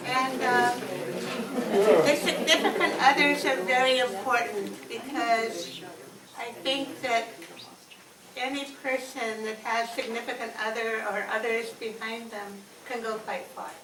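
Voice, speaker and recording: A woman's voice: on the high side, measured pace. A woman making informal public remarks at a poetry event. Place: event room, recorded from a distance. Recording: echoey room, distant mic, crowd noise.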